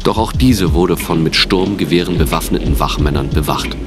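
A male narrator with a very low voice tells a dramatic audio play story. His delivery is measured and grave, and music plays clearly underneath.

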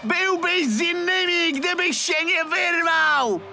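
A man's voice: very high-pitched, slow pace, music in the background, exaggerated voice. A man voicing a domineering, hot-tempered football coach in a dubbed cartoon, barking out orders and threats. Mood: angry, barking. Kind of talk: cartoon dub acting.

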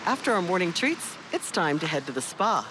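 A woman's voice: on the low side, sing-song, fairly quick, background noise. An American woman reporter reading scripted narration for a TV feature story. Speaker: TV news reporter.

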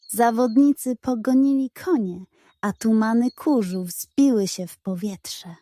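A woman narrating a scripted children's bedtime story in an expressive read-aloud style. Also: mid-range voice, dry recording.

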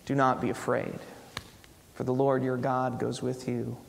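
A man's voice: mid-range voice, flat delivery, unhurried, gravelly, a little hiss or hum. A man in his thirties, a preacher speaking conversationally to his congregation in a church. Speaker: preacher giving a benediction. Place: large church sanctuary, indoors. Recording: reverberant church hall.

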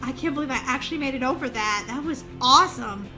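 A woman hosting a gaming video, giving excited, playful and dramatic gameplay commentary for young viewers. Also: high voice, fast, loud music behind the voice.

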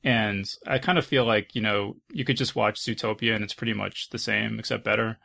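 A man's voice: fairly low pitch, a little fast, vocal fry, pushed and bright. A man hosting a casual podcast panel, speaking in an informal, hesitant way. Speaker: podcast host.

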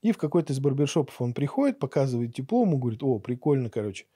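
Middle-aged male podcast guest, mellow husky voice, conversational and explanatory, close studio mic. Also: medium pitch, sing-song, racing, no echo. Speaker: podcast guest, barber and teacher. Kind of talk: conversational interview talk. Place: small acoustically treated podcast studio. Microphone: boom-mounted studio microphone up close.

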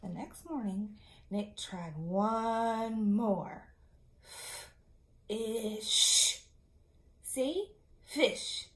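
A woman in her thirties, a schoolteacher reading a picture book aloud to young children in an expressive, teacherly way, often putting questions to the listeners. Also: mid-range voice, very slow, pauses often. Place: small indoor classroom-style room. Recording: echoey room.

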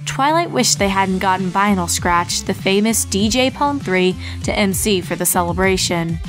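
A woman narrates a grim horror tale as a scripted reading. Her voice sits at a middling pitch, with music playing underneath. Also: animated, normal speed. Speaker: fan fiction reader and narrator. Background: background music.

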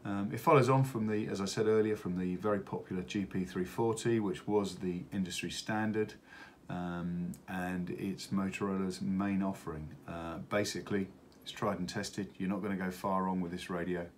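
Low-voiced man presenting, noisy recording. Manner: halting, with many hesitations. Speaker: product presenter for a radio retailer. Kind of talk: unboxing demonstration, unscripted product walkthrough. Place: indoors at a wooden table in an office. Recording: steady background room noise.

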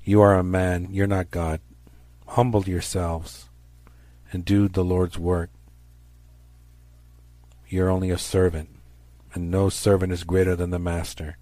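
Deep-voiced man preaching stern rebukes. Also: darkish tone, dry recording. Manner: stern, accusatory, emphatic, declamatory. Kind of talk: fire-and-brimstone rebuking sermon.

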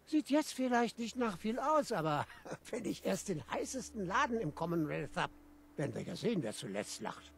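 Swooping, chatty wasteland bar keeper. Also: male voice, sits high, very animated, moderate pace, creaky, husky, heavily acted. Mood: boastful. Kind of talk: video game voice acting.

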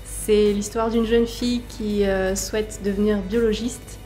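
A woman's voice: medium pitch, level tone, unhurried, mellow, faint music behind, low-quality recording. A woman in her thirties, a school librarian, explaining a book in an interview. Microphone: handheld earphone mic held close.

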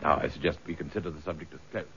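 An actor with a British accent playing a guarded, authoritative assistant police commissioner in a radio drama, formal and avuncular in manner. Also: male voice, rumbling low, background noise. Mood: guarded, firm. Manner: formal, avuncular, halting when evasive. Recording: dull, lo-fi radio recording.

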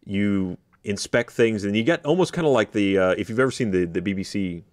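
Low-pitched man chatting on a podcast. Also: fairly quick, no echo, studio quality. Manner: playful, joking. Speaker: gaming podcast co-host. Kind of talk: casual chat. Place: podcast studio, indoors. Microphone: studio podcast microphone at desk.

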